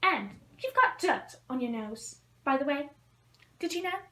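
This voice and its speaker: A woman acts out a young schoolgirl witch from a storybook, pitched high. She is prim, bossy and sniffy, hectoring whoever listens. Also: lively intonation, measured pace, sharp tone, roomy sound, exaggerated voice.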